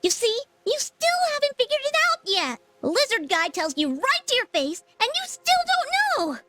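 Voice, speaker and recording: A woman's voice: extremely high pitch, sing-song, moderate pace, pushed and bright, full character voice. A woman voicing a feisty, cute small flying fox companion in a video game, sassy and emphatic. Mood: exasperated.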